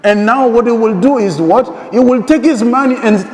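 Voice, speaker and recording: A man's voice: very high-pitched, moderate pace, a little mellow. A middle-aged man from the Democratic Republic of Congo, a priest preaching a sermon with repeated, emphatic phrases and rhetorical questions. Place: large church, indoors. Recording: echoey church with long reverb.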